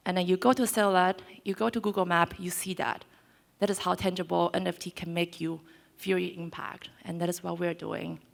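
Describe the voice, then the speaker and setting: A woman's voice: fairly low pitch, little pitch movement, brisk, gravelly. A woman in her twenties from Taiwan, speaking on a conference panel and persuading the audience with direct questions. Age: 20s. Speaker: panelist, co-founder. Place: conference hall.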